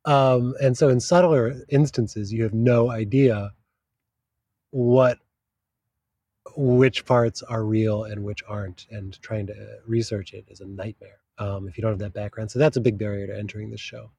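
A man's voice: medium pitch, a little mellow, breathy edge, no echo. A man who works as a literary translator, talking in a casual interview, thoughtful and often searching for the right words mid-sentence.

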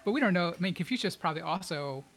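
A man talks through ideas on a casual podcast, his voice sitting a little higher than most. He explains at length, leaning on plenty of fillers as he goes. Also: lively intonation, racing, fairly bright tone, slight background noise. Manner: explaining, chatty with many fillers. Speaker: podcast co-host. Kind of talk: casual book discussion.

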